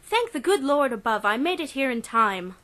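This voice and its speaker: A man's voice: very high voice, sing-song, normal speed, sharp tone, voice pushed up, heavily acted. A man voicing a genteel, nervous young Edwardian lady in a pulp audiobook, polite and over-apologetic. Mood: urgent, relieved. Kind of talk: pulp adventure audiobook character.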